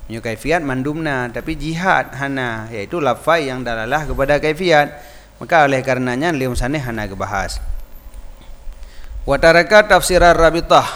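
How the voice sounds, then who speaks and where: A man's voice: mid-range voice, fairly bright tone. A man with an Acehnese accent, a native Acehnese speaker, teaching a religious lesson by reading a text aloud and explaining it informally. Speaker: Islamic teacher. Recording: reverberant hall-like echo.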